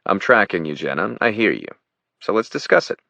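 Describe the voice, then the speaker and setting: A man's voice: lowish voice, big pitch swings, a little fast, gravelly, pushed and bright, dry recording, lo-fi sound, pitched above the performer's own voice, full character voice. A man playing a confident, brusque paramilitary chief in his thirties, delivering lines like a firm military briefing in an audiobook. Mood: conceding. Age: 30s.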